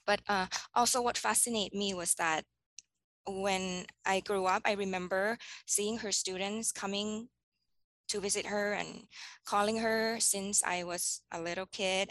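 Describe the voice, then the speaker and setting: A woman's voice: medium pitch, steady tone, a little slow, fairly bright tone, no echo. A woman in her thirties from Thailand, a teacher answering interview questions thoughtfully, with frequent ums and restarts. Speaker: teacher guest.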